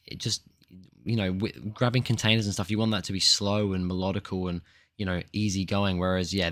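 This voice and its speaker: A man reviews an episode in a loose, rambling way, his fairly low voice still croaky from a cold he is getting over. He talks close to the mic in a dry, deadened room, stumbling through restarts and fillers as he goes. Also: fairly bright tone, no echo. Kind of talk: casual spoken review.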